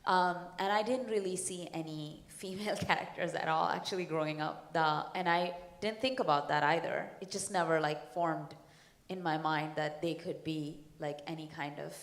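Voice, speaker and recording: A woman from India whose first language is Hindi talks on a panel. Her voice is very low and reflective, with hesitations and small laughs. The room around her is echoey. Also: steady tone, normal speed. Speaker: panelist, writer and actor. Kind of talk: casual panel talk. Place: conference hall.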